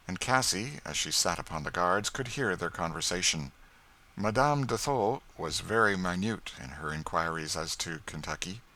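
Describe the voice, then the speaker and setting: A man reading scripted literary narration as an audiobook narrator, in a measured storytelling manner. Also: low voice, sharp tone.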